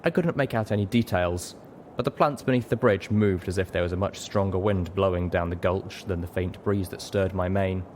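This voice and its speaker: A man's voice: low voice, moderate pace, a little mellow, a little hiss or hum. A man reading first-person fiction aloud as scripted audiobook narration. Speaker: audiobook reader.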